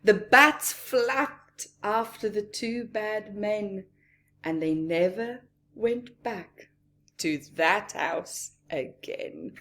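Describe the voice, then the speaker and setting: Slow, expressive woman storyteller for children. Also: mid-range voice, slight background noise. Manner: acts out characters, pausing for asides to listeners. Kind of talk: expressive storybook read-aloud to young children.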